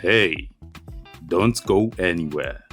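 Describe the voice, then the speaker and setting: A man's voice: deep voice, slow pace, a bit bright. A man delivering a scripted outro as an announcer, addressing the audience directly. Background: light plucked guitar music.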